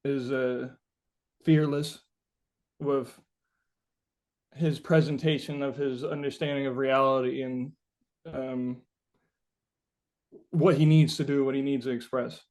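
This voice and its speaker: A man being interviewed as a musician, answering casually and haltingly, thinking aloud and often saying "like". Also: mid-range voice, flat delivery, a little mellow.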